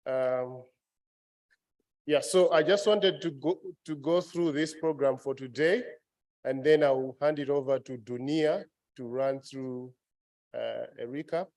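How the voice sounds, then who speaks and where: A man runs a meeting in a plain mid-pitched voice. He talks in halting bursts, full of fillers and restarts, while giving practical announcements. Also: a little mellow. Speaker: meeting facilitator.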